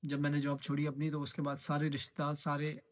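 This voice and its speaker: Fast-talking young man. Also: a little high, completely flat delivery. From Uttarakhand, India. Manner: rambling, mixes English phrases, repeats himself. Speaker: trading vlogger. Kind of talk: casual vlog monologue.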